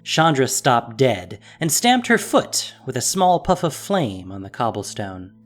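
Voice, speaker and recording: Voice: medium pitch, big pitch swings, a little slow, soft background music. A narrator reading a scripted fantasy story in a dramatic storytelling style.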